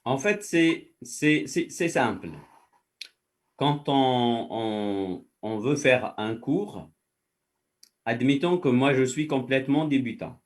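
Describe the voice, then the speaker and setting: A man's voice: medium pitch, lively intonation, slow, distant mic. A man, a faculty director, giving explanatory answers as an interview guest and patiently walking through first-person examples.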